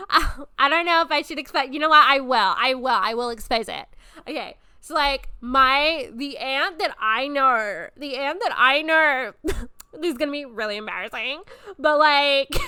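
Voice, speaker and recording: A woman's voice: high voice, a little slow, bright, forceful tone, no echo. A woman in her twenties hosting a podcast, talking in a casual, rambling and gushing way, swearing often. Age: 20s. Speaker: podcast host. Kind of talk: casual monologue.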